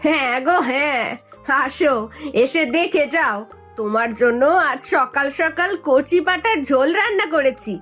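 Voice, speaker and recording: A woman plays a caring village wife in a cartoon. Her high voice is affectionate and homely, over a bed of music. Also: animated, normal speed, a bit bright. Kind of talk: cartoon voice acting.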